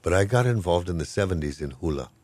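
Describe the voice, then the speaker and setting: A man's voice: low-pitched, animated, fast, darkish tone, no echo. An older man, a professor of Hawaiian language, talking casually and with good humor in an interview, wandering from point to point. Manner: rambling, good-humored, self-deprecating.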